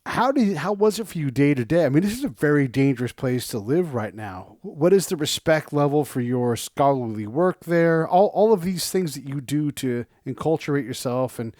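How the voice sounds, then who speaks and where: A man's voice: fairly high pitch, animated, moderate pace, few pauses, darkish tone, no echo, clean studio sound. A man hosting a podcast interview, asking long, rambling questions. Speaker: host.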